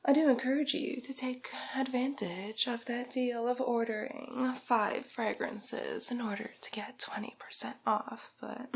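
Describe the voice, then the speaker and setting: A woman playing a haughty, vain, glamorous villainess in an ASMR roleplay, whispering breathily and condescendingly with drawn-out endearments. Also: a little high, fairly even intonation, gravelly, a little hiss or hum. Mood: possessive, condescending.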